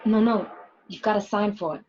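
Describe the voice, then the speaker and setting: A woman's voice: mid-range voice, brisk, darkish tone, slight background noise, close to an everyday voice. A woman as a forthright gangster's girlfriend, muffled audio. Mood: matter-of-fact. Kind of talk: film script table read.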